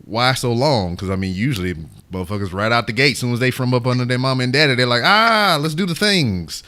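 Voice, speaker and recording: A man's voice: medium pitch, steady tone, fast talker, fairly bright tone, clean tone. A man hosting a casual podcast interview, joking and swearing in slangy banter. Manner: joking, profane, laughs often. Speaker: podcast host interviewing a guest.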